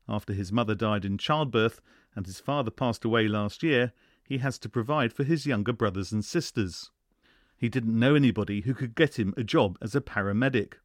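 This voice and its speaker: A man narrating a scripted radio news report in a measured, even delivery. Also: mid-range voice, no room sound, clean studio sound. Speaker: radio correspondent.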